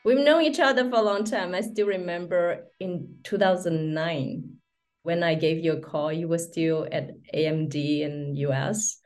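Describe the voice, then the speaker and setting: A middle-aged woman hosting a podcast interview, admiring and quick to laugh. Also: lowish voice, darkish tone, faint music behind. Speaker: podcast host.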